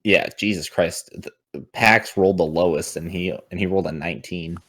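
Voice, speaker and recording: A man running a tabletop game as game master, improvising narration in a casual, halting way, thinking aloud and swearing freely. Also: sits low, steady tone, fairly bright tone, a little hiss or hum.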